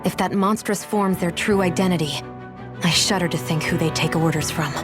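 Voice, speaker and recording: An actress playing an earnest, concerned young heroine in a fantasy video game. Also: female voice, lowish voice, normal speed, music in the background, lightly acted. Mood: puzzled unease.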